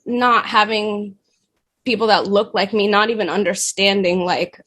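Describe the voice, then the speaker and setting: A young woman opens up in an interview, musing aloud and circling back with loose "like" fillers. Her pitch sits in an ordinary middle range. She sounds candid and thoughtful. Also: fairly even intonation, measured pace, fairly bright tone. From Dominican Republic. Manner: reflective, with frequent "like" fillers. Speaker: guest, fashion and food stylist.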